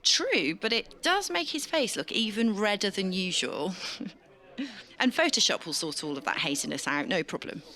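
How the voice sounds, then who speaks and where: A woman's voice: medium pitch, very animated, moderate pace, sharp tone. A British woman playing a breezy, sarcastic political correspondent with a theatrical manner in an audio drama. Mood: breezy, bossy.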